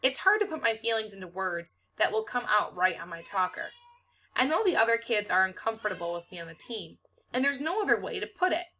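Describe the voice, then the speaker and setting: A woman's voice: mid-range voice, big pitch swings, brisk, pushed and bright, slight background noise. A woman voicing a self-conscious, earnest schoolgirl who is downcast, in a storybook reading. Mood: hurt, dejected.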